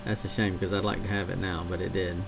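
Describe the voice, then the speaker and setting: A man chatting easily on amateur radio, talking through gear and antennas in a relaxed, technical way. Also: low voice, fast talker, vocal fry. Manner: easygoing, technical talk. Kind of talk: casual radio chat. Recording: hissy shortwave radio signal.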